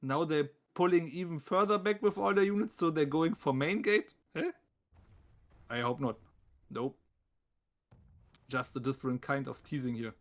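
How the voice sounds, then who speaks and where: A man with a thick German accent casts a live game tournament. His voice sits a little high for a man, with a gravelly fry in it. He comments casually, joking and poking fun at himself while addressing the viewers. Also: lively intonation, normal speed, long pauses. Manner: self-deprecating, talks to chat and viewers.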